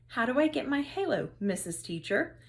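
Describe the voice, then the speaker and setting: A woman's voice: high voice, big pitch swings, normal speed, voice pushed up. A woman voicing an upbeat female third-grade teacher in a storybook, emphatic and teasing with her class.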